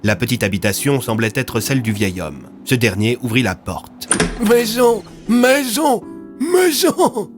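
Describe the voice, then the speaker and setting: A man's voice: lowish voice, normal speed, few pauses. Male storyteller over background music. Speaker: narrator and creator of an audio fiction serial. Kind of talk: scripted dramatic storytelling narration.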